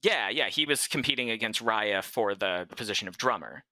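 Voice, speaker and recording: A man's voice: fairly low pitch, animated, moderate pace, sharp tone, no echo. A man co-hosting a podcast, talking casually as he recaps a story with the others. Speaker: podcast co-host.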